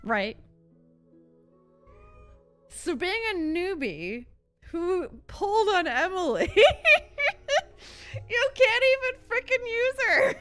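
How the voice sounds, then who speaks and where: A young adult woman streamer chatting casually over gameplay, informal and opinionated, thinking out loud. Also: very high-pitched, big pitch swings, slow pace, pauses often, faint music behind. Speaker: game streamer. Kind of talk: casual live gameplay chat.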